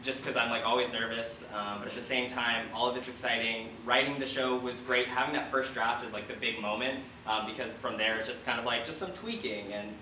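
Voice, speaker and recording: A man's voice: medium pitch, brisk, few pauses, bright, forceful tone. Young man on a roomy distant recording. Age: 20s. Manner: hesitant, informal phrasing with frequent "like". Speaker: student playwright on a panel. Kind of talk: casual panel discussion. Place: panel discussion in a room. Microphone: distant microphone. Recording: roomy, distant, faint noise.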